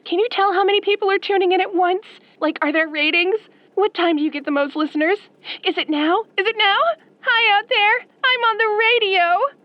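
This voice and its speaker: A woman's voice: very high-pitched, no echo. A woman playing an eager, girlish, obsessive fan with a menacing streak, gushing questions in an audio roleplay. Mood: excited, gushing. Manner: gushing, rapid-fire questions. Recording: muffled phone-in caller line.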